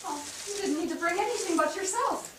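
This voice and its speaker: In a very echoey hall, a woman plays a doting, pious mother. Her high, swooping voice is tender and fussing, full of exclamations and questions. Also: animated, brisk. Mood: tender relief. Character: devout, relieved, fussing mother of immigrant boy. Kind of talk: staged play reading. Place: theatre stage. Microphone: distant microphone. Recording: very echoey, distant, noisy stage pickup.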